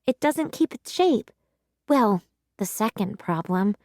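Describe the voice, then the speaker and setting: A woman's voice: mid-range voice, lively intonation, slow, a little mellow, no echo, clean studio sound. A woman voicing an earnest, defensive young schoolgirl in a children's storybook, plain-spoken and pleading. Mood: plaintive. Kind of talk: children's storybook read-aloud.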